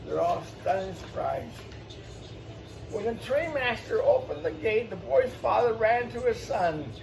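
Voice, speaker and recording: A man's voice: sits high, animated, a little slow, vocal fry. A man hosting storytime, reading a children's picture book aloud with exclamations and explanatory asides for young listeners. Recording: distant mic, faint background noise.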